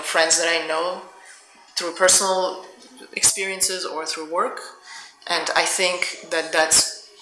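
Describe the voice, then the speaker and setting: A middle-aged woman speaks as a guest author at a public talk, with a very bright, forceful voice. She reflects aloud, feeling for her phrasing, in an echoey room with faint noise. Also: sits low. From Soviet Union. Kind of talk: answering audience questions at a book talk. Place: indoor public event room.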